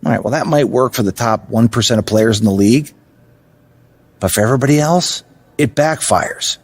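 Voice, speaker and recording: A man playing a stern, frank veteran basketball coach in a video game cutscene, blunt and firm but supportive in a measured delivery. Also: medium pitch, slightly rough. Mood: firm, urging.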